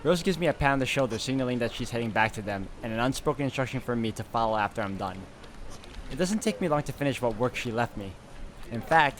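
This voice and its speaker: Man reading game narration over crowd ambience. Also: medium pitch. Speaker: game playthrough host. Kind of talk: reading visual novel narration aloud.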